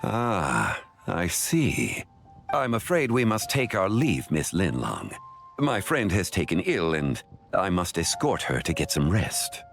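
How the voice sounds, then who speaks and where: Scholarly game consultant: deep, composed, formal and courteous, expressive melody under a measured delivery. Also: male voice, music in the background, exaggerated voice. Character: composed, courteous, learned gentleman consultant, male adult. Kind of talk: video game voice acting.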